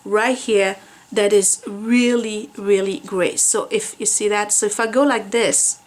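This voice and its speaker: A woman's voice: medium pitch, steady tone, unhurried. A woman in her forties chatting candidly in a home vlog, rambling a little with fillers, filmed by a window at home. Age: 40s. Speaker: vlogger. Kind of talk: casual home hair-care vlog.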